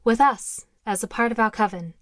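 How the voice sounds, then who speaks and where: A woman playing a friendly, reassuring college-age witch in an audio drama, with a casual, soft-hearted manner. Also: fairly high pitch, normal speed, dry recording, clean studio sound, lightly acted. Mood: tender coaxing.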